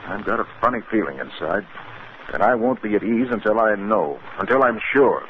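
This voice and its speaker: An American actor playing an alarmed, obsessive male psychiatrist in a 1950s radio drama, speaking gravely and urgently. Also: lowish voice, animated, brisk, natural voice. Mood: uneasy, insistent. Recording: scratchy, narrow-band 1950s radio recording.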